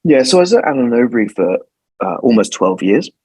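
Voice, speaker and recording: A man's voice: mid-range voice, big pitch swings, quick pace, warm tone, breathy edge, no room sound. A man in his forties from London with a London accent, answering interview questions thoughtfully and often repeating words as he finds his phrasing. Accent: London English. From London, England. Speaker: guest lawyer.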